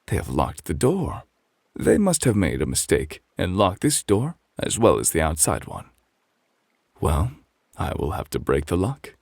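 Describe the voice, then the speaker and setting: A man voicing a grumpy, indignant male bear who scolds in a measured, old-fashioned way in a bedtime story. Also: sits low, huge pitch swings, gravelly, a little mellow, no room sound, clean studio sound, heavily acted. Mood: puzzled, annoyed.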